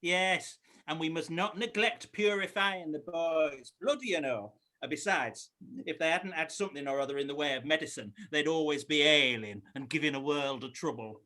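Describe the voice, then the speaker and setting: An actor playing a mean, miserly schoolmaster who talks in an oily, complacent way. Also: male voice, fairly high pitch, moderate pace, sharp tone. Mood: smug.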